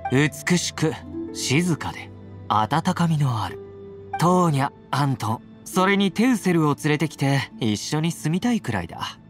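A man playing a confident, playful young warrior in a Japanese anime-style game, teasing and affectionate in a breezy, smooth-talking way. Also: on the high side, big pitch swings, measured pace. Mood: warm, wistful. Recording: game audio with background music.

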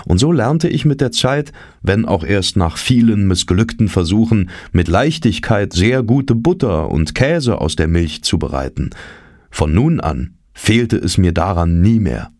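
A man reading a literary audiobook as scripted first-person narration, in measured, careful phrases. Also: fairly low pitch, big pitch swings, a little mellow, no echo, clean studio sound.

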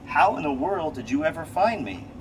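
A man's voice: on the high side, moderate pace, voice pushed up. A man voicing a frightened, sentimental cat astronaut from a children's storybook, pleading and dramatic. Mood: amazed. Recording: distant mic, loud steady hum.

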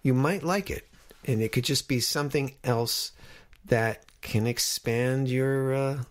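A man hosts a podcast in an informal, conversational way. His voice sits at an average pitch for a man. He speaks encouragingly, as if chatting directly to his listeners. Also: unhurried. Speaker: podcast host. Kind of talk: casual podcast talk.

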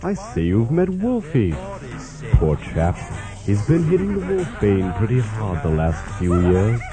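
A man's voice: on the low side, big pitch swings, measured pace, music in the background. A man playing a genial, courteous, slightly dotty mad doctor in a comic horror radio play.

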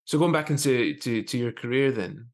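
A man in his thirties with a middle-pitched voice hosts a podcast. He speaks in a casual, conversational way over a video call from an indoor room. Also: moderate pace. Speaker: podcast host. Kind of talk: casual interview chat. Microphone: wired earphones on video call.

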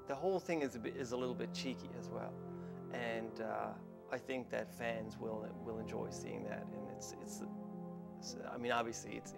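A man in his thirties chats in an interview with a noticeably creaky voice of middling pitch. Music is clearly audible behind him. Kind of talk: casual interview talk. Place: indoor office with artwork on walls.

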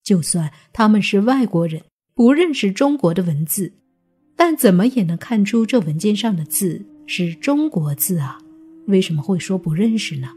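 A woman narrating a scripted suspense audiobook in a measured, deliberate storytelling manner. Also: mid-range voice, animated, mellow, slightly rough. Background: background music.

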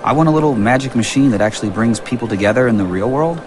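A young actor playing a dry, grumbling yet idealistic film graduate, talking casually in an animated drama. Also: male voice, mid-range voice, fast talker, music in the background, natural voice. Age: young adult. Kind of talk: animated comic drama, conversational.

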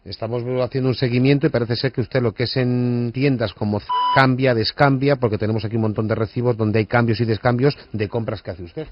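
Un hombre que interpreta a un empleado de banco formal, insistente y prolijo en una broma telefónica. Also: male voice, medium pitch, steady tone, fairly quick, few pauses, slight background noise, close to an everyday voice. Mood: formal, officious. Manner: polite, officious, hesitant, wordy. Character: formal, insistent male bank card-department official. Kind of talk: prank phone call acting. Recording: dull lo-fi radio phone call.

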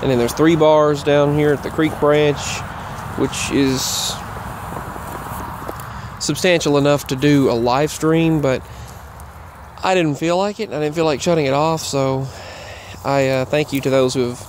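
A man giving a casual, rambling update while walking outdoors, halting and often repeating himself. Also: a little high, steady tone. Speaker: vlogger. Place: outdoors, walking in a grassy yard near roads. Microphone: earbuds microphone with a phone. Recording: clear outdoor traffic noise. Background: passing traffic.

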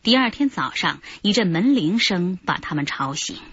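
A woman reading the scripted narration of a radio crime drama in a measured storytelling way. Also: fairly low pitch, animated, fairly bright tone, lo-fi sound, a little hiss or hum.

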